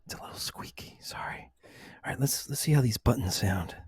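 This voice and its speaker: Whispering, very breathy ASMR man. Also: medium pitch, expressive, a little fast, no room sound, clean studio sound. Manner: whispering and hushed soft speaking. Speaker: ASMR video host. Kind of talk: ASMR, soft chat to the listener.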